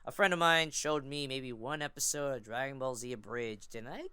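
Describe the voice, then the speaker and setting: A man's voice: mid-range voice, normal speed, sharp tone, clean tone, dry recording. A young man chatting casually as a podcast guest, rambling with a fan's enthusiasm. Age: young adult. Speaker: podcast guest, amateur voice actor and fandubber. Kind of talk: casual chat.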